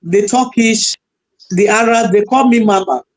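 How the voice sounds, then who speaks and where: A woman's voice: medium pitch, unhurried. A middle-aged woman from Nigeria, a pastor, chatting on a video call with laughs and a preacher's way of talking. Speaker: pastor and panelist. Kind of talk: casual panel chat.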